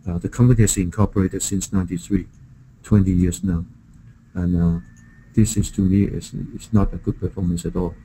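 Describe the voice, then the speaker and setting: A middle-aged man with a Hong Kong accent talks through a business pitch in a deep-leaning, very soft-edged mellow voice. He is halting and often repeats a word before moving on. There is noticeable background noise on the call. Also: fairly even intonation, low-quality recording. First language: Chinese. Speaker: software vendor presenting to a prospective partner.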